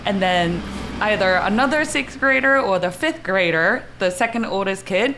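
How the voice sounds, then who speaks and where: A woman's voice: mid-range voice, lively intonation, moderate pace, sharp tone, slight background noise. A middle-aged woman with a Japanese accent, a guest on a podcast talking casually and unhurriedly, laughing often between phrases, into a desk mic in a small studio. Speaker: podcast guest, athletic trainer. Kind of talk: casual interview chat. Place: small home studio, indoors. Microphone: podcast mic on a desk stand.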